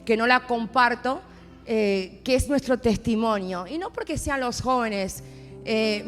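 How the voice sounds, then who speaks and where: A high-voiced woman from Argentina with an Argentine accent tells her testimony from a church pulpit. She moves from intimate storytelling to emphatic declarations. Her voice rings out in a hall with long reverb, and soft music plays beneath it. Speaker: pastor's wife preaching. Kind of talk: sermon testimony. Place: church sanctuary.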